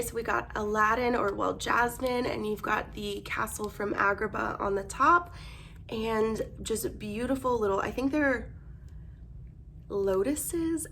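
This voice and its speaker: Hoarse young woman gushing over an unboxing. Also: medium pitch, slight background noise. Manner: bubbly, gushing excitement. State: losing her voice, hoarse. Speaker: vlogger. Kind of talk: casual unboxing chat.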